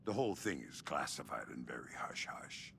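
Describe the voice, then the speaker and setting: An actor playing a gruff, terse middle-aged special agent with a deep, gravelly voice in a video game cutscene. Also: male voice, big pitch swings, moderate pace, whispered, exaggerated voice. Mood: briefing, focused. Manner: terse, commanding. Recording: loud hiss and rain noise.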